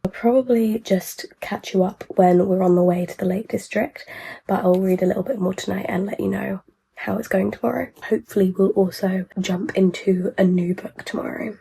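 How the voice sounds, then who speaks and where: A young woman vlogging casually about her reading. She has a sore throat and sounds bunged up, so she talks quietly and cannot project her voice. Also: mid-range voice, creaky, slightly rough. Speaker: book vlogger. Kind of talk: casual vlog talk to camera.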